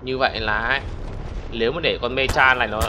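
A young man commentating a monster battle video game for his channel, excitable and constantly talking to his viewers. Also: mid-range voice, fast talker. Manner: excitable, exclaims often, addresses viewers constantly. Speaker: gaming channel host. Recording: game battle noise behind voice. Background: explosions and gunfire from game.

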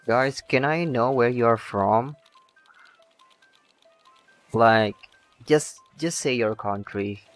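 Slow-talking young man streaming a game. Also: mid-range voice. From Indonesia. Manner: chatty, halting, many restarts and laughs. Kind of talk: casual live-stream chat reading viewer comments. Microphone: shotgun microphone, not facing it. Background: faint game music.

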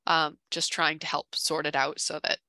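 Woman, low and forceful voice beginning to tire, careful halting explainer, staff briefing over a headset. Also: level tone, clear, resonant tone, no echo. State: voice starting to give out. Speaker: town conservation staffer presenting a case. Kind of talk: informal meeting presentation. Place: indoor room, on video call. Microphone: headset on video call.

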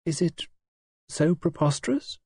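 A man reading an audiobook, voicing a gentle, reserved young woman who speaks softly and calmly. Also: on the high side, unhurried, husky, dry recording, clean studio sound, pitched above the performer's own voice, exaggerated voice. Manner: soft-spoken and calm. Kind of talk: audiobook character voice.

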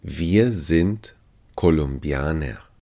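A man's voice: extremely low pitch, expressive, very slow, mellow. A man teaching language phrases, saying each one three times carefully and evenly. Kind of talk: slow repeated phrase drill.

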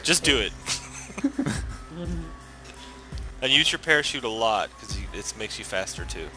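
A man's voice: fairly low pitch, big pitch swings, a little slow, sharp tone, low-quality recording. A man hosting a gaming channel, ranting and chatting with friends while racing, sarcastic and profane. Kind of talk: rant to camera then casual gaming chat. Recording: game music behind the voice.

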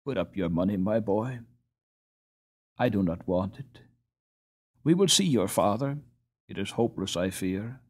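A man's voice: on the low side, animated, unhurried, pauses often, slightly rough. A man voicing a brusque, brooding doctor in a storybook, terse and given to muttering. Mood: brusque, considering. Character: brusque, brooding male doctor, secretly kind.

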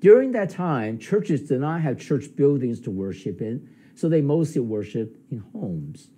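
An older man delivers a sermon from a plain indoor studio, his voice very dark and mellow with a husky edge. He explains in a measured, teaching manner, his pitch rising and falling with lively emphasis. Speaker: pastor.

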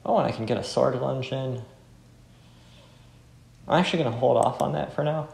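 Creaky-voiced man commenting on a game. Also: medium pitch, normal speed, darkish tone. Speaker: game playthrough host. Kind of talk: casual gameplay commentary. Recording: roomy with faint music.

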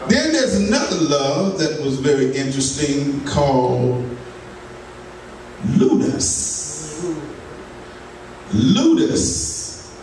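A man's voice: fairly high pitch, animated, drawn-out words, pauses often, crowd noise. A man minister, preaching a folksy sermon in a church sanctuary with laughter and asides to the congregation. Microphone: pulpit mic, filmed by a far camera. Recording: echoey church from far off, noisy.

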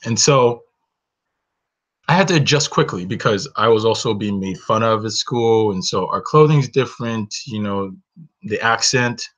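Earnest low-voiced man, dull webcam audio. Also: moderate pace, a bit bright. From Northern Nigeria. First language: Hausa. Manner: earnest, personal, many "you know" fillers. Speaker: webinar host. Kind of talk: reflective solo webinar talk. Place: bedroom indoors, small. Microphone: webcam, close framing.

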